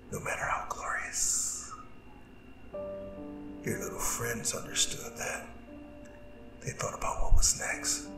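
Man playing a slow, whispering, gloating demon king. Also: mid-range voice, full character voice. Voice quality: breathy, largely whispered. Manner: taunting, drawn-out, intimate, theatrical. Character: seductive, gloating, disembodied male Demon King. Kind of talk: ASMR roleplay monologue. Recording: roomy distant echo, music underneath. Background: music.

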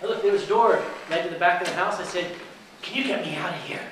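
A man's voice: high-pitched, quick pace. A man telling a comic story to a live audience in a large lecture hall and acting out the voices in it, heard through a handheld mic from far off. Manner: animated, acts out the voices in his story. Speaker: convention storyteller. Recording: echoey hall from far off, noisy.

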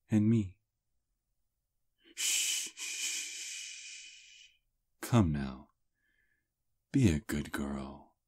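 Ancient vampire prince, played by a man: courtly seducer, breathy husky voice, slow intimate ASMR delivery. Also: rumbling low, exaggerated voice. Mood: coaxing, commanding. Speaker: ASMR roleplay performer. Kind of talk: intimate ASMR roleplay acting.

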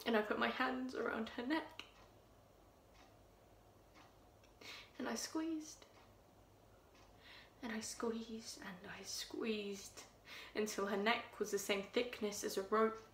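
An unsettling woman confides a dark tale in hushed, intimate tones, as a young actress performs her. Her voice is bright and gravelly. It carries a roomy echo. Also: on the high side, steady tone, measured pace, slight background noise. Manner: hushed, intimate storytelling, drops to whispers. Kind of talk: contemporary audition monologue. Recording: echoey room.

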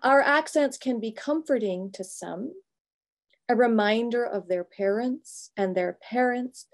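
A middle-aged woman pastor giving a reflective, prepared talk from a home office over a video call, measured and thoughtful, pausing between phrases. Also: medium pitch, a little mellow. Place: home office with bookshelves, indoors.

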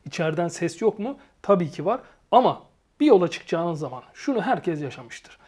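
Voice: medium pitch, big pitch swings, normal speed, a little mellow, breathy edge. A reviewer giving a casual, explanatory product review and addressing viewers informally. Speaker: motorcycle gear reviewer and channel host.

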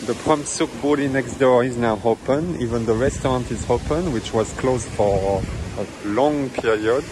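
Male travel vlogger, casual beach walk-through, mid-pitch, waves rushing behind, phone audio. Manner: chatty, non-native phrasing, fillers. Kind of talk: casual walking-tour commentary. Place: outdoors on a tropical beach. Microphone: handheld phone camera. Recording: outdoor phone audio with surf noise. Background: waves and wind.

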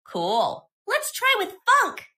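A woman presenting software in a scripted tutorial narration. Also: very high voice, huge pitch swings, slow pace, over loud music.

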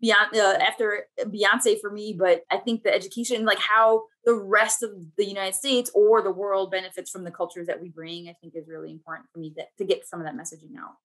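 A woman with a Mexican-tinged accent talks over a video call from a home office. She speaks thoughtfully, often restarting her sentences, at a mid pitch. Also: fairly even intonation, brisk, few pauses, a bit bright. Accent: Mexican-influenced. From Puerto Rico. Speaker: panelist. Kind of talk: casual panel discussion.

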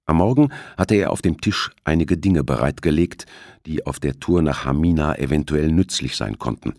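A man's voice: very deep voice, lively intonation, moderate pace, darkish tone, dry recording, clean studio sound. A man narrating a suspense novel as an audiobook, reading from a script. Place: close, dry studio recording.

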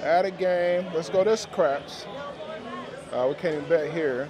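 High-voiced man gambling on a noisy casino floor. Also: a little slow. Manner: slangy, repeats numbers and phrases. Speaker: gambling vlogger. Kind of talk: casual play-by-play to viewers. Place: busy indoor casino floor. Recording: busy casino floor, music, crowd chatter. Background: music and crowd chatter.

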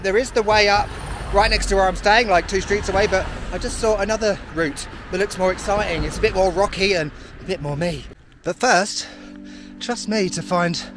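Man, thirties, fairly high voice, excitable travel vlogger, arm's-length camera outside, music and traffic underneath. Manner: chatty, excitable, playful asides. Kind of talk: casual travel vlog, talking to camera. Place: outdoors on sunny town streets. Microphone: handheld camera at arm's length.

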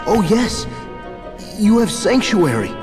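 An actor playing a gentle, timid young hunchback in a video game, with an earnest, childlike way of talking. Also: male voice, high voice, very animated, slow, over music, full character voice. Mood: earnest, tender. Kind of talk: dramatic video game acting.

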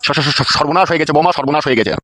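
Old cartoon villager, put on by a man: flustered, heavily stammering, pitched up, rural West Bengali accent. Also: racing, very noisy, close to an everyday voice. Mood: panicked. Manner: heavy stutter, flustered. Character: panicky, stammering old village farmer father. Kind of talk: cartoon dialogue.

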